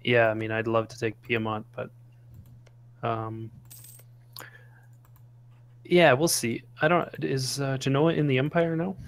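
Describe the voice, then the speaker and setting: A man's voice: medium pitch, little pitch movement, unhurried, long pauses. A man playing a strategy game online, chatting casually and hesitantly with another player. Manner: easygoing, hesitant, joking. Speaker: fellow streamer player. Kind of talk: casual gaming chat.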